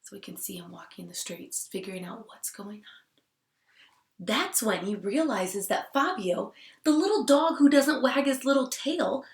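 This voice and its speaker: A woman teacher reading a picture book aloud to children, expressively, with hushed asides. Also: mid-range voice, gravelly. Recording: roomy, echoing sound.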